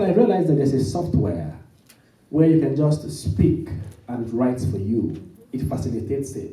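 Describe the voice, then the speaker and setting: A man's voice: mid-range voice, dark tone. A man working as master of ceremonies, making announcements to an audience with swooping emphasis. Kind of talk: event hosting and announcements. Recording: echoey hall, mic from a distance.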